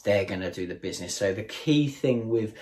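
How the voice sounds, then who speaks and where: A man with a hoarse, fading voice hosting a casual, enthusiastic tabletop wargame strategy tutorial, chatting to viewers as guys. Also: on the low side, lively intonation, brisk, darkish tone. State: hoarse, losing his voice. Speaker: tabletop wargame channel host.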